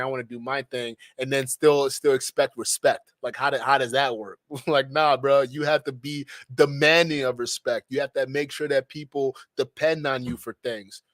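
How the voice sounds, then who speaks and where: A young man from Nigeria hosting a live stream, giving an animated, preachy monologue with slangy asides. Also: medium pitch, dry recording.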